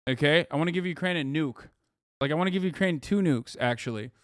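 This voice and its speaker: A fairly high, smooth male voice recorded close and dry, as a streamer reacts to an article. He rants with heated sarcasm and frequent swearing, pausing on fillers before driving his point home. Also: fairly bright tone, dry recording. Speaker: streamer host. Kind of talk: live stream reaction commentary.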